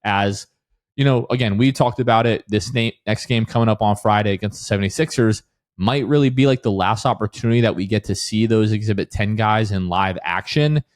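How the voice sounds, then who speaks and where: A man's voice: on the low side, brisk, no echo, studio quality. A man co-hosting a sports podcast in a casual, conversational style.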